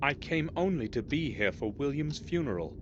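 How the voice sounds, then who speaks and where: A man's voice: mid-range voice, expressive, normal speed, natural voice. An actor playing an earnest, suspicious man with questions about his family castle, in a video game. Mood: insistent, suspicious. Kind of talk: scripted video game voice acting. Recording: muffled, very noisy game audio.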